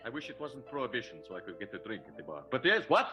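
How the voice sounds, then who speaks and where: A man playing a theatrical, pompous, wounded husband in an improvised radio soap, speaking in grand, formal, deliberate phrases. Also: medium pitch, sing-song, dull audio, music in the background, lightly acted.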